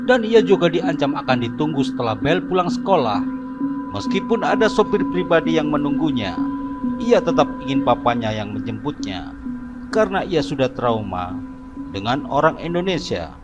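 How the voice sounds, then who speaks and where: A man's voice: on the high side, moderate pace, muffled recording, loud music behind the voice. A man narrating a horror cartoon in a dramatic, scripted storytelling style. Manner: dramatic, expressive.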